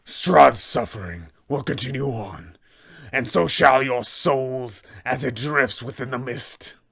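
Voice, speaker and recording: Man doing a gravelly undead knight, wrathful. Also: mid-range voice, fairly bright tone, full character voice. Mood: menacing. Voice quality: gravelly, slow menacing delivery. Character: vengeful undead male knight, wrathful and proud.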